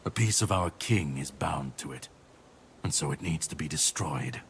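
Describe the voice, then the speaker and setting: An actor playing a grim, world-weary warrior on a mission in a video game, speaking in a grave, measured way. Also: male voice, rumbling low, expressive. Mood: businesslike, conspiratorial. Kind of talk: dramatic video game dialogue acting. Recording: clear background noise and ambience.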